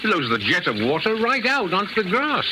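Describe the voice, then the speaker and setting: An actor playing a fussy, placating middle-aged British gentleman host in an old radio drama. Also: high-pitched, huge pitch swings, brisk. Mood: eager to please. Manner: fussy, eager to please. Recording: very noisy, hissy vintage radio recording.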